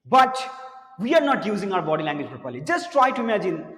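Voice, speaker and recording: High-voiced man teaching in an echoey room. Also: big pitch swings, fast, a bit bright. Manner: earnest, repeats words, drops voice low for serious points. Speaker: spoken English teacher. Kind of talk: motivational lesson to camera. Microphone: camera mic, speaking to camera. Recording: echoey room with long reverb.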